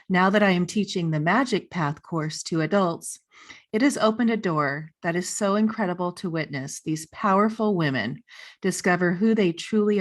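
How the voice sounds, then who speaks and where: Upbeat woman, lowish voice, podcast host. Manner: upbeat, gushing, many "you know" fillers. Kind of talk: scripted promo then casual interview chat. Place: living room at home. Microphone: studio mic on a boom arm, earbuds, video call.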